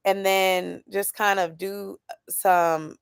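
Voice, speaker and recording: A woman's voice: medium pitch, flat delivery, slow pace, creaky, smooth, no room sound, studio quality. Candid woman in her late twenties. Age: 20s. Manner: candid, thinking aloud about plans, reads chat comments. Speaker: YouTuber doing a weekly check-in. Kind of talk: casual chat with a live chat.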